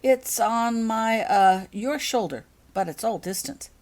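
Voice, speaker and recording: A woman voicing an uncertain, earnest young schoolgirl witch in a storybook reading, with a halting, exclaiming manner. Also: fairly high pitch, pitched above the performer's own voice.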